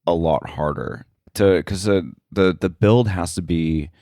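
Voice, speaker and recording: A man speaking casually as an interview guest about his work, thinking aloud in a halting way. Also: sits low, warm tone, no echo, clean studio sound. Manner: halting, thinking aloud, frequent filler likes. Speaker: sound editor, interview guest.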